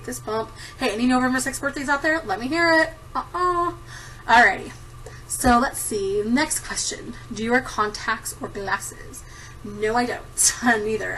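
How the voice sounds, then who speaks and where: A woman's voice: a little high, bright, forceful tone, distant mic, slight background noise, poor audio. A woman in her thirties chatting casually to camera, giggling often and rambling with lots of ums. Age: 30s. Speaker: vlogger answering a tag. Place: home room at a desktop computer. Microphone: computer camera mic.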